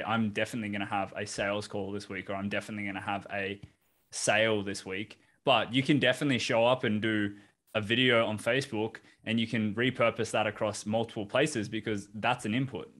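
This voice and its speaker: Low-voiced Australian male podcast host. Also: a little fast. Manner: relaxed, encouraging, "you know" fillers. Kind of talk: casual video-call interview. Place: home office, indoors. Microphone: video call.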